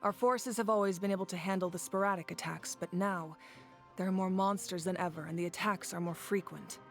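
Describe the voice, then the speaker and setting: A woman playing a grave, commanding leader of warriors in a video game, measured and authoritative. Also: fairly low pitch, fairly even intonation, lightly acted. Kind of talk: English video game voice acting. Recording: game music behind the voice.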